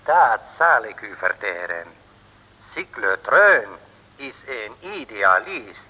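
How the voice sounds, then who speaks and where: Slow, sinister secret agent villain. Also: male voice, lowish voice, sing-song, bright, forceful tone, highs cut off, full character voice. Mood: pompous, menacing. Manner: slow, measured, pompous pronouncements. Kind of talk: 1950s Dutch radio play acting. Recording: old radio recording with long reverb.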